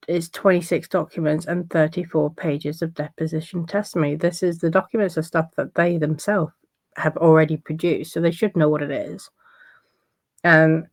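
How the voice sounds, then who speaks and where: A woman with a croaky voice giving casual commentary on court filings in a loose, conversational way. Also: fairly low pitch, darkish tone, dry recording. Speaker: legal commentary vlogger.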